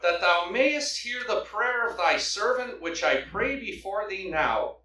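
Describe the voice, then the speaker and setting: A man from Canada preaching, praying and reading scripture aloud with a loud open-air preaching voice, standing back from the microphone. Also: high-pitched, very animated, a little slow, slight background noise. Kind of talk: sermon with prayer and scripture reading. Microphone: microphone held back from the mouth. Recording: echoey hall, mic at a distance.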